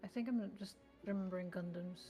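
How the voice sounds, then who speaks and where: A woman's voice: medium pitch, steady tone, brisk, music in the background. A woman whose first language is not English, chatting casually with friends on a gaming stream, giggling often and trailing off mid-thought.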